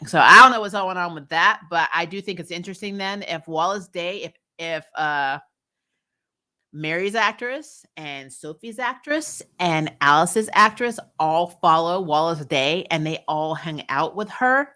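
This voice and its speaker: A woman's voice: on the low side, measured pace. A woman hosting a fan recap show, giving casual, opinionated TV commentary in a blunt, sweary way. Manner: blunt, sweary, animated mock-quoting.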